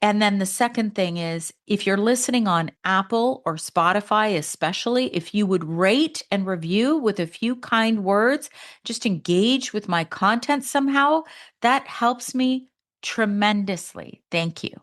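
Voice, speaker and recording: A woman's voice: expressive, measured pace. Earnest woman in her fifties. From Canada. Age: 50s. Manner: conversational and earnest, pitch jumps up for emphasis. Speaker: podcast host. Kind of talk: solo podcast monologue.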